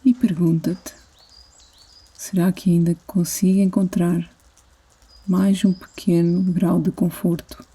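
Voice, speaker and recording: Woman, European Portuguese accent, meditation guide, soothing, low-pitched, very even intonation, unhurried pauses. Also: breathy edge. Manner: soothing, gentle, unhurried phrasing with long pauses. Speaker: yoga nidra instructor.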